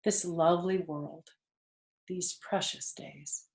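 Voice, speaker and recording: A woman voicing a tired, wise, motherly female spider in a children's storybook, speaking calmly and gently in a measured way. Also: lowish voice, roomy sound. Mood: tired, peaceful. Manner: calm, gentle, measured, matter-of-fact. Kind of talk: children's storybook character reading.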